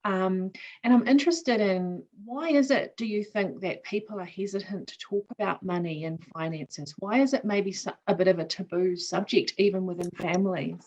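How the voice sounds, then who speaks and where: A woman hosting a conversation on a video call, speaking in a measured way with a few ums. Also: medium pitch, darkish tone, low-quality recording. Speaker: host.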